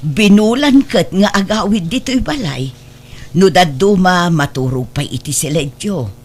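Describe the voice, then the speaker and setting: A woman's voice: fairly low pitch, animated, measured pace, a little mellow, husky. A woman playing a doting, forgiving older mother and grandmother in an audio drama, speaking in a reassuring, motherly way. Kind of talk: melodramatic audio drama acting.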